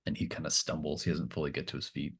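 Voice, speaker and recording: Male game master, very deep voice, improvised tabletop narration, halting, tentative phrasing. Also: flat delivery, gravelly, a bit bright, husky.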